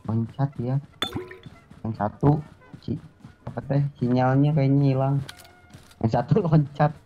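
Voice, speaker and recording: A man's voice: medium pitch, steady tone, unhurried, muffled recording. A man, giving casual, urgent, repetitive callouts as a gaming teammate. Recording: game music behind the voice.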